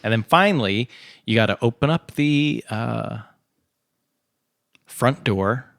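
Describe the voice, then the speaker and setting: A man's voice: medium pitch, expressive, slow, creaky, a bit bright, dry recording. Playful rambling man podcast co-host in his thirties, dry close recording. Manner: rambling, playful, trails off with fillers. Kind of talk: casual banter. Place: home in Texas.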